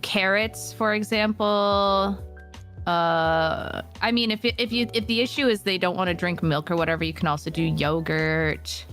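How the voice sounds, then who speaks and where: Female guest co-host, mid-pitched, bubbly, giggly, loose and profane. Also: fairly even intonation, measured pace, a bit bright, soft background music. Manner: bubbly, laughs a lot, swears casually. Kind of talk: casual stream chat.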